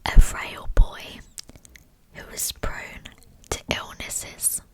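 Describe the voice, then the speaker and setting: Woman doing asmr true crime, all whispered, really slow and soothing. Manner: hushed, soothing delivery meant for sleep. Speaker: ASMR channel host.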